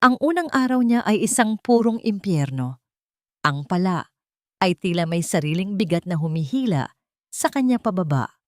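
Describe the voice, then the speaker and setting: A woman's voice: mid-range voice, big pitch swings, moderate pace, no room sound, clean studio sound. A woman with a Filipino accent giving a scripted, dramatic story narration.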